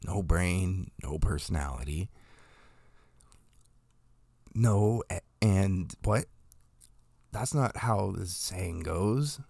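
Low, smug, condescending man played by an actor. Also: animated, drawn-out words, creaky, pauses often, warm tone, no echo, exaggerated voice. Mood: irritated, dismissive. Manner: patronizing, needling.

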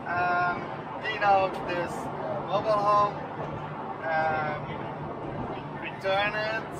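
A man's voice: high voice, extremely slow. A man in his thirties hosting a travel vlog, teasing and laughing often while driving a camper van. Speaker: vlog host. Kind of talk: casual travel vlog chat. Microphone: camera on dashboard. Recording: road and engine noise. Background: loud road noise.

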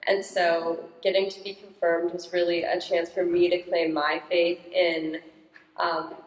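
Loud-voiced teenage girl in an echoey church. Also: fairly low pitch, little pitch movement, measured pace. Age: teens. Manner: naturally loud, upbeat. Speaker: young parishioner guest. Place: large church sanctuary. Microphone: handheld microphone.

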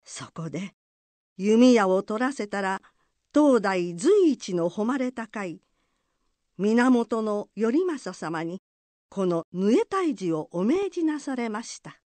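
Woman narrator telling an old folk tale, slow, very lively intonation, music behind. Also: medium pitch, no echo. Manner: formal, polite, expressive storytelling. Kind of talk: storytelling narration of a folk legend.

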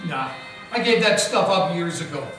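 A man playing a deadpan, wisecracking private eye who narrates his own case in an old-time radio play. His voice comes from far off in a very echoey hall, with music behind. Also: a little high, moderate pace. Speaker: narrator and lead actor. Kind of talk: hardboiled 1940s radio detective narration.

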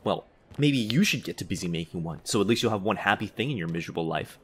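A man's voice: low-pitched, expressive, quick pace, breathy edge. A man playing a grumpy, easily flustered vampire in a comedy audio roleplay, sarcastic and huffy in manner. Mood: snide. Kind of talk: comedy ASMR roleplay acting.

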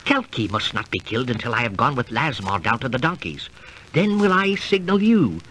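Scheming traitor, swooping intonation. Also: male voice, medium pitch, very animated, moderate pace, fairly bright tone, low-quality recording. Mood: urgent, commanding. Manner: conspiratorial, commanding, archaic stilted phrasing. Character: scheming, wary, treacherous conspirator plotting murder in ancient times. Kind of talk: golden-age radio drama acting. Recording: old radio recording with faint noise.

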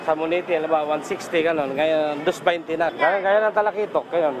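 A middle-aged man, a fish vendor, talking casually into a handheld mic in a market, listing prices haltingly. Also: on the high side, fairly even intonation, highs cut off. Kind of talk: casual street interview. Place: indoor market. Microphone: handheld reporter mic. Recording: noisy market.

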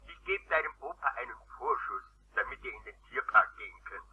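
A man's voice: mid-range voice, animated, a little fast, a crowd in the background. An actor playing an older, grandfatherly professor speaking through a telephone in a children's radio play. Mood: amiable. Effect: telephone filter. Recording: muffled telephone-filtered radio play line.

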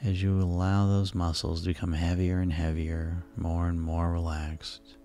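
Deep, creaky-voiced man guiding sleep hypnosis. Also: monotone, warm tone. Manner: soothing, unhurried, repetitive. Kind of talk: guided sleep hypnosis narration. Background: relaxing background music.

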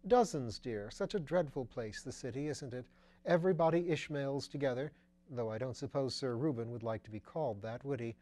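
A man's voice: brisk, mellow, natural voice. A man voicing a rambling, gossipy old duchess. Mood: chattering. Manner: breathless rambling gossip, lifting high on phrases.

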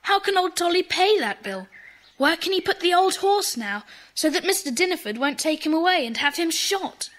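A woman's voice: very high-pitched, expressive, normal speed, fairly bright tone. A woman playing an earnest, kind-hearted schoolboy in a children's audio drama. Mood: worried. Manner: earnest, explanatory.

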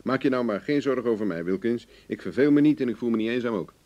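An actor playing a cocky, wry, hard-drinking investigator in a radio play, speaking in a dry, self-assured way. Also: male voice, medium pitch, animated, fast, mellow, natural voice. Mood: smug, relaxed. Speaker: actor in the lead role. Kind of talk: Dutch radio play acting. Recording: dull, lo-fi old radio recording.

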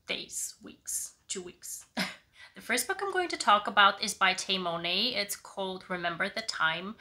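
A woman's voice: mid-range voice, sharp tone. A woman in her thirties, congested from a cold, talking casually to camera as she reviews books. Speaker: book reviewer, channel host. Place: small living room, indoors.

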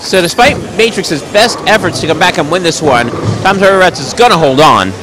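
A man calls a bowling match live with a loud, projecting voice that sits a bit high. He tosses in chatty, joking asides between the calls. The clatter of a busy bowling alley carries clearly behind him. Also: animated, moderate pace, noisy background. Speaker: bowling commentator. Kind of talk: live play-by-play commentary.

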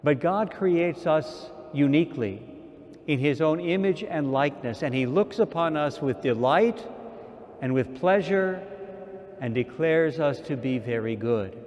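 A man in his sixties, an archbishop, preaching a sermon at a pulpit mic in a large church, slow and measured with repeated phrases. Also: a little high, expressive, darkish tone, highs cut off. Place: large church sanctuary, indoors. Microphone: stand mic at pulpit. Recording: long church reverb.

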